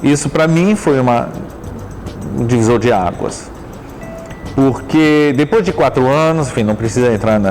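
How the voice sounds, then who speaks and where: A middle-aged man, a dance teacher, telling his life story in an interview in a thoughtful, halting way with frequent restarts and self-corrections. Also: mid-range voice, darkish tone, poor audio.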